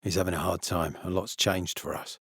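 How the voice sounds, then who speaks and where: Gruff, weary army officer for a video game: low, dry close sound, measured and authoritative. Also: male voice, fairly even intonation, a bit bright, dry recording, full character voice. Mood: weary, regretful. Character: gruff, weary military officer, commanding, later a regretful father. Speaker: game character voice actor.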